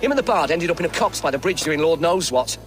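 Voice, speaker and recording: A man's voice: fairly high pitch, expressive, fast, soft background music, close to an everyday voice. An actor playing a grumpy, self-satisfied townsman in a video game, grumbling and wry. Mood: smug, wry. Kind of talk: video game character acting.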